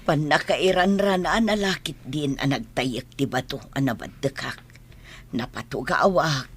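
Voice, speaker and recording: A woman's voice: low voice, a little slow, husky, full character voice. A Filipino woman playing an injured elderly mother in an audio drama, speaking plaintively. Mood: pained, weary. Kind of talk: Ilocano audio drama acting.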